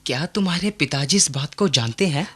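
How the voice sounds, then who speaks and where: An actor playing a concerned, sensible young friend who questions and counsels in earnest, formal diction in a radio play. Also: male voice, fairly high pitch, animated, brisk, fairly bright tone, husky. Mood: puzzled, questioning. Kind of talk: Hindi radio play acting.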